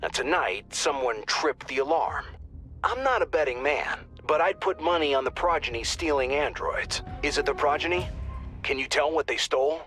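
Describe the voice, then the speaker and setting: An actor playing an alert, businesslike and slightly wry mission handler in a video game, giving scripted tactical updates. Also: male voice, a little high, lively intonation, moderate pace, fairly bright tone.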